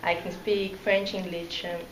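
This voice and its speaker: A woman's voice: fairly low pitch, level tone, unhurried. Young woman answering from across a room. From Cameroon. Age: 20s. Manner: earnest, with fillers and restarts. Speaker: pageant contestant. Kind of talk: casting interview answers. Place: meeting room with a red wall. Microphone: far camera. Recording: echoey room, far camera, hiss.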